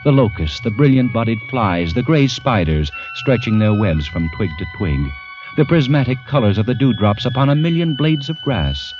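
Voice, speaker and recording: An actor playing a grave, deliberate narrator in a vintage radio drama, telling of a condemned man's ordeal with intensity. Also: medium pitch, expressive, natural voice. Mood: grave, suspenseful. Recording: vintage radio, dull, loud music behind.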